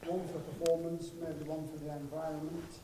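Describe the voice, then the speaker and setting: A man with a fairly high voice explains things in a measured way, pausing between phrases, like an expert on a panel. He is some way from the microphone in an echoey room with clear background noise. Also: little pitch movement, recorded from a distance. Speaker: guest speaker, sports psychologist. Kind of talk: explanatory answer at a live Q&A. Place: room at a conference, away from the mic.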